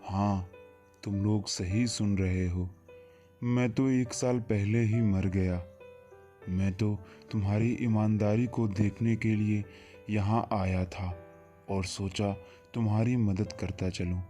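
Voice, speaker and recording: A man playing the calm, kindly ghost of a dead man, solemn and reassuring, in a horror cartoon. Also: on the low side, takes it slowly, soft background music.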